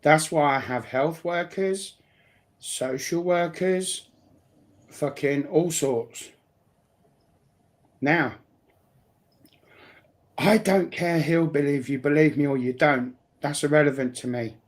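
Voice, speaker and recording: A man's voice: a little high, slow pace, pauses often. A blunt, sweary man chatting casually as a guest on a livestream.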